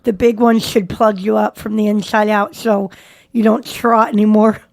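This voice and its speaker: A woman's voice: medium pitch, flat delivery, normal speed, gravelly, darkish tone, no echo. A woman co-hosting a podcast, dropping teasing, crude quips in casual group chat. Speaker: podcast co-host.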